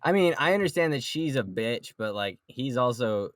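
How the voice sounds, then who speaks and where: A man's voice: mid-range voice, expressive, normal speed, dry recording, studio quality. A man chatting casually as a podcast panelist, joking and swearing freely. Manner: jokey, profane. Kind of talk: casual group chat about a manga.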